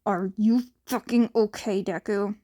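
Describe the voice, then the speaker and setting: A woman voicing a brash, gruff, hot-headed teenage bully with a blunt manner in a fan fiction reading. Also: mid-range voice, steady tone, a little slow, warm tone, lightly acted. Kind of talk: fan fiction character acting.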